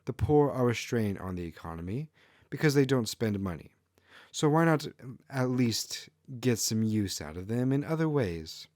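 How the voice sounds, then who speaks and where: A man's voice: mid-range voice, animated, moderate pace, darkish tone, no echo, studio quality, pitched above the performer's own voice, lightly acted. A man voicing an arrogant, callous female fox kitsune ruler in a haughty, dismissive manner for a fantasy story. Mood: haughty, scornful.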